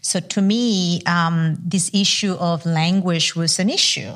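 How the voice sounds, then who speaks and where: A woman scholar speaking on an academic panel, for whom English is not her first language; candid, animated and self-deprecating. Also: lowish voice, takes it slowly.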